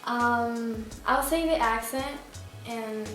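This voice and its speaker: A young woman from Spain answers interview questions in a soft, laid-back way, dropping filler words as she goes. Her voice sits fairly high. The room rings with strong echo, and faint music plays underneath. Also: slow pace. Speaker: singer, interview guest. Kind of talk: casual interview chat.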